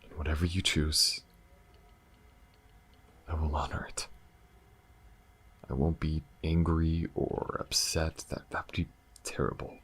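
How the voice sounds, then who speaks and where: A man playing a gentle, battle-weary alien lover in an intimate ASMR roleplay, speaking softly and haltingly with a husky, breathy voice. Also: rumbling low, flat delivery, exaggerated voice. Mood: tender, earnest. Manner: soft, halting, hesitant, sighing delivery.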